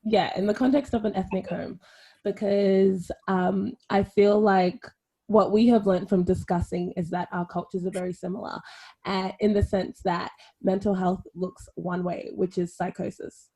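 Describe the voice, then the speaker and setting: Woman host, mellow husky voice, casual and friendly. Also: medium pitch, steady tone, moderate pace, no echo. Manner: friendly, chatty, many fillers. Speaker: podcast host. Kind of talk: casual podcast chat.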